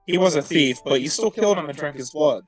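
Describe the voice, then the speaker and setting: A man's voice: a little high, animated, quick pace, over loud music. Teasing young man at the game table. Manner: teasing, laughing. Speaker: player. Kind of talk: casual tabletop game talk.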